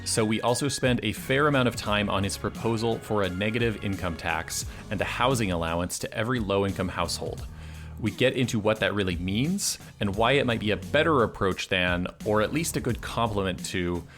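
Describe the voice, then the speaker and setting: Podcast host, male, lowish voice, measured delivery, faint music under. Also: a bit bright. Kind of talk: scripted intro then casual interview.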